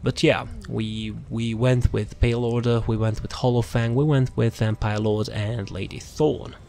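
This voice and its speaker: A man's voice: medium pitch, steady tone, measured pace, warm tone, slight background noise. A man giving a casual, unscripted video game build walkthrough, rambling and repeating words as he looks for things. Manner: rambling, repeats words and phrases while searching. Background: background music.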